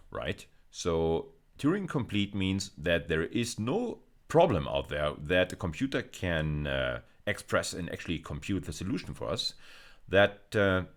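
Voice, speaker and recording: A man lecturing in an explanatory style, pausing and repeating words as he goes, with emphatic stresses. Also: deep voice, sing-song. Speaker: university lecturer.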